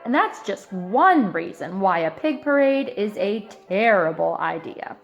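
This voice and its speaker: A woman reading a children's picture book aloud in an expressive, emphatic way, stretching out key words. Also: mid-range voice, measured pace, vocal fry, dull audio, faint music behind. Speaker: storybook reader.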